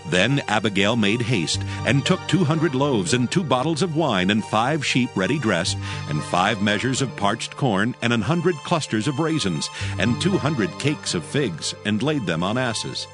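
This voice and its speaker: A man's voice: mid-range voice, very animated, measured pace, hardly any pauses. A man narrating scripture as a scripted dramatic reading. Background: music.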